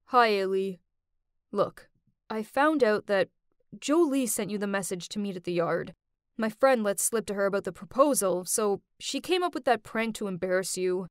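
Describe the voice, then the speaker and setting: A woman's voice: medium pitch, moderate pace, clean tone, no room sound, clean studio sound, pitched below the performer's own voice, natural voice. A woman storyteller voicing an earnest, blunt, easily exasperated college-age man in a dramatic story. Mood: stern, regretful.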